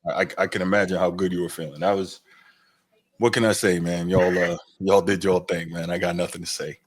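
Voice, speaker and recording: Deep-voiced male podcast co-host, easygoing and gracious, soft trailing delivery. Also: little pitch movement, a little fast. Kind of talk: casual sports chat.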